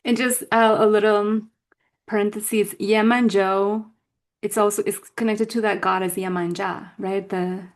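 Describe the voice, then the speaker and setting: A woman's voice: medium pitch, little pitch movement, unhurried. A woman hosting a casual interview, encouraging her guests with affirming responses. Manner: warm, encouraging, affirming listener. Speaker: podcast host.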